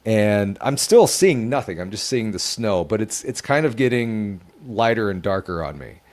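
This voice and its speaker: Man with a lowish voice, earnest and halting, casual podcast storyteller. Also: lively intonation. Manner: earnest, emotional, halting retelling. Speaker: podcast co-host.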